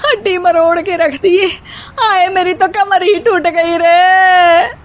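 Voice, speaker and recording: A woman's voice: very high-pitched, fairly even intonation, slow pace, background noise, voice pushed up. A woman voicing an exasperated elderly mother-in-law in a moral-story cartoon, complaining theatrically. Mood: wailing, pained.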